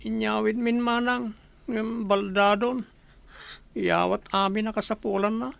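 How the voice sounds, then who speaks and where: A woman's voice: medium pitch, fairly even intonation, measured pace, vocal fry, dry recording, soft background music. A woman playing a burdened, earnest younger sibling in a radio drama, speaking plaintively.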